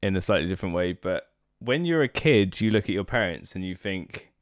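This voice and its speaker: A man in his thirties hosting a podcast. His voice is fairly low but moves a lot, rising and falling as he talks. The sound is dry and close, and he laughs readily between easygoing questions. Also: animated, fairly quick, no room sound. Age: 30s. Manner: laughs easily, asks friendly questions. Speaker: podcast host. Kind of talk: casual podcast interview.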